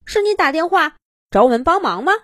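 A woman voicing a clever, take-charge little mouse in a children's story, brisk and teasing. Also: high-pitched, sing-song, fairly bright tone, no echo, studio quality, voice pushed up. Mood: eager.

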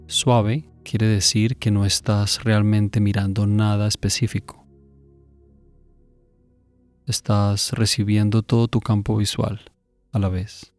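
Slow, low-pitched man guiding meditation. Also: faint music behind. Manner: calm, gentle instructing with long pauses. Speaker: meditation guide. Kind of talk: guided meditation.

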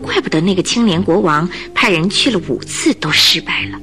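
Woman narrator, scripted storytelling, radio drama, lively swinging intonation, faint background music. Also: lowish voice, brisk. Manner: expressive storytelling.

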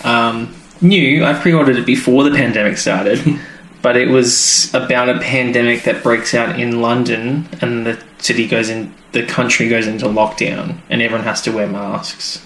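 A male podcast co-host talks in a loose, rambling, conversational way, often leaving thoughts unfinished. His pitch sits in the middle. The recording has a noticeable room echo and some light background noise. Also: steady tone, moderate pace, a bit bright. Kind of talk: casual chat reviewing a TV serial.

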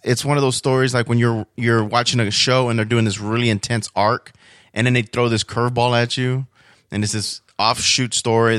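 A man's voice: on the low side, a bit bright, no echo, studio quality. A man chatting informally about comics as a podcast guest, in a rambling, enthusiastic fan's way. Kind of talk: casual chat about comics.

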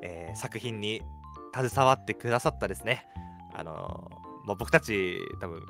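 A man's voice: lowish voice, unhurried, gravelly, fairly bright tone. A man co-hosting a radio chat, mixing polite speech with casual banter. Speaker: radio show co-host. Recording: music playing behind the voice. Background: background music.

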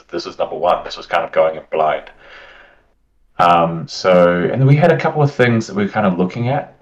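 A man's voice: deep voice, poor audio. A man from New Zealand with a New Zealand accent, a guest answering interview questions in a chatty, rambling way. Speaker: guest, tournament winner.

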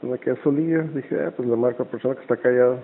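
A man's voice: mid-range voice, very fast. A man with a northern Mexican accent chatting casually and jokily as a podcast host, full of slang. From Nicaragua, moved to Tijuana, Mexico. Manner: slangy, jokey, laughs often. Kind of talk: casual phone chat for a podcast. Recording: thin, phone-like band-limited sound.

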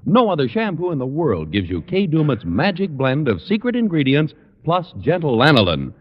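Male American 1940s commercial announcer, highly expressive pitch, muffled reverberant vintage broadcast. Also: normal speed. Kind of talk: 1940s radio ad read.

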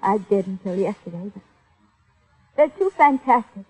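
An actress playing a troubled but firm young fiancée, earnest and direct, in a mid-century radio drama. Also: female voice, mid-range voice, animated, moderate pace, a little mellow, breathy edge, low-quality recording. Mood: worried, earnest.

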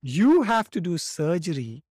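A man in his fifties, raised in India, telling his story as a podcast guest in a lively way, often acting out quotes and repeating words as he starts. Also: a little high, unhurried, slightly rough, no room sound, studio quality. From born in Morocco, raised in India. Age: 50s. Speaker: guest, laughter yoga teacher.

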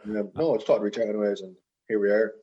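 A man's voice: on the low side, fairly even intonation, quick pace, warm tone. A young Irish man in his twenties chatting casually and ramblingly as a podcast guest. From Ireland. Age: 20s. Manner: rambling, informal. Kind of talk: casual personal chat. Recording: slightly dull, lo-fi audio.

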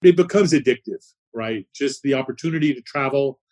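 Husky-voiced man sharing career advice. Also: mid-range voice, brisk, darkish tone. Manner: reflective, storytelling, rhetorical "right?". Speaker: guest, business consultant. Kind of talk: conversational interview answers.

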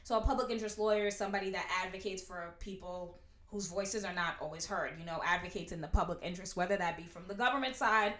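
A young woman in her twenties, a prosecutor, talking loudly and outspokenly in a casual interview. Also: lowish voice, fast talker. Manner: loud, outspoken. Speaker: guest, prosecutor. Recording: roomy echo.

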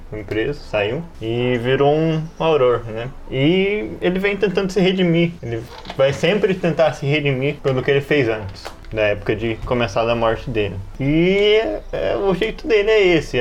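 A man's voice: medium pitch, slight background noise. A man chatting casually on an RPG podcast, speaking plainly in short clauses. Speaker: RPG podcast participant and player. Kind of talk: casual chat.

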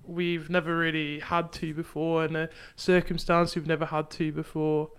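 A young woman hosts a relaxed conversation, her voice smooth and clean. She is chatty and laughs easily as she leads the talk. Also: deep voice, moderate pace. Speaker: podcast host and youth ministry leader. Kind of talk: casual chat.

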